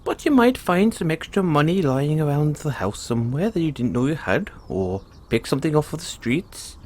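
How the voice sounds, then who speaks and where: A man's voice: on the high side, sing-song, moderate pace, a little mellow. A man voicing a kindly, playful leprechaun in an audio roleplay, folksy and reassuring. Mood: cheerful, generous. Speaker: roleplay performer. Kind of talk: audio roleplay, speaking softly to one listener.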